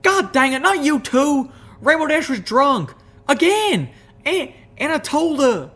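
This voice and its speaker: A woman voicing a tough, blunt country mare pony who exclaims loudly with a rural drawl. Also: high voice, sing-song, measured pace, pitched above the performer's own voice, full character voice. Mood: flustered. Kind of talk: audiobook reading of fan fiction.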